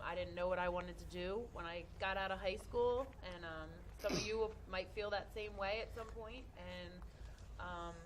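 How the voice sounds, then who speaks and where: Low-voiced woman, halting and friendly. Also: slight background noise. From Delaware, US. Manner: hesitant, filler-heavy, friendly. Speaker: nurse, guest speaker. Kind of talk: casual talk to young audience.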